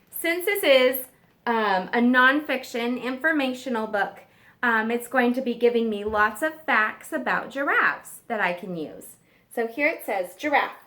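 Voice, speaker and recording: Woman, schoolteacher, slightly high pitch, bright forward tone, reading aloud to kids, simple questions, emphasized key words. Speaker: teacher reading aloud to children. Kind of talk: children's nonfiction read-aloud with explanatory asides.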